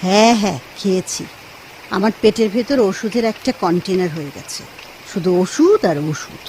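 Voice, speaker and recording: A woman's voice: medium pitch, animated, moderate pace, a little mellow, a little hiss or hum, close to an everyday voice, poor audio. A woman acting a sensitive, insecure young wife in a radio play, speaking expressively with doting, chiding and plaintive turns. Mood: concerned. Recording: music under the dialogue. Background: background music.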